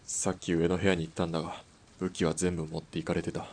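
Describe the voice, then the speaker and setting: An actor playing a steady, perceptive hired sniper in a radio drama, with blunt, rough masculine speech. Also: male voice, low voice, fast talker. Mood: sober. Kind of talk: Japanese radio drama acting.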